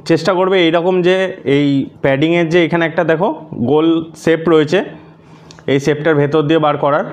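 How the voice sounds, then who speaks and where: A man narrating a casual step-by-step tutorial, explaining things and mixing in English words. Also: fairly high pitch. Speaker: host of a motorcycle gear tutorial.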